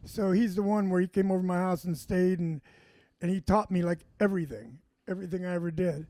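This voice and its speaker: A man's voice: high voice, fairly quick, no room sound. A man telling comedy stories in casual chat, acting out what people said. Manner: animated, acts out quotes. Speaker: comedian guest. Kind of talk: casual storytelling.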